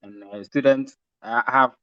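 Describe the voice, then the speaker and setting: A man's voice: medium pitch, fairly even intonation, measured pace, bright, forceful tone, dry recording, muffled recording. A young man, about to graduate, introducing himself briefly on an online call. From Ghana. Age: young adult. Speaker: graduating student participant.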